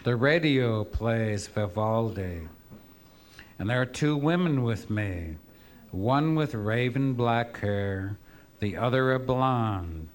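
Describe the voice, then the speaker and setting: A man reading his poems aloud to an audience, drunk, gruff and profane, rambling between pieces. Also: medium pitch, animated, takes it slowly. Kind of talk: live poetry reading with rambling asides.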